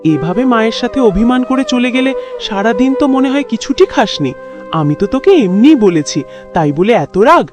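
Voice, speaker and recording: Animated, nagging cartoon mother played by a woman. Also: fairly high pitch, normal speed, natural voice. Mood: worried, tender. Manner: exasperated, nagging. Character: scolding but caring village mother. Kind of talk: cartoon voice acting. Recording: music behind the voice.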